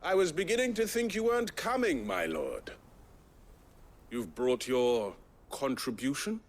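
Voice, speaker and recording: An actor voicing a shady, oily soldier in a video game, sly and mock-deferential. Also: male voice, mid-range voice, big pitch swings, a little slow, a little hiss or hum. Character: shady soldier dealing in stolen crystals, oily.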